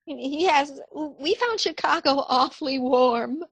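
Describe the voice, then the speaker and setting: A sweet, timid old aunt in a radio comedy, played by a woman. Her voice is high and fluttery, genteel and polite, recorded dry and close. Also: takes it slowly, dry recording, low-quality recording. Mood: polite, flustered. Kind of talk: stage comedy radio acting.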